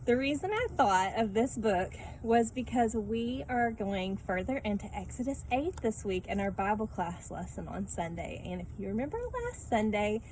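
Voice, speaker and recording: A woman's voice: medium pitch, moderate pace, few pauses, a little mellow, slight background noise, low-quality recording. Chatty woman teacher talking to children. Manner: conversational, often adds tag questions. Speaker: children's Bible class teacher. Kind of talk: casual read-aloud and lesson talk for children.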